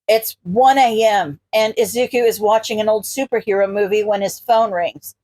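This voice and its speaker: A woman's voice: medium pitch, moderate pace, a little mellow. A woman reading a scripted story aloud as a narrator. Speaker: podfic reader.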